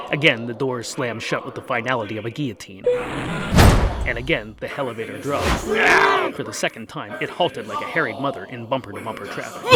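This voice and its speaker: Wry male storyteller over music. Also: medium pitch. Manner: wry, dramatic delivery. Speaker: narrator. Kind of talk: scripted comic fantasy narration. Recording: music under the narration.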